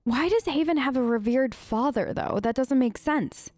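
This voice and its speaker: A woman's voice: high voice, normal speed, a little mellow, no echo. A woman streamer role-playing her character in a game, chatty and emotive, with hesitant fillers. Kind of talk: role-play let's play chat.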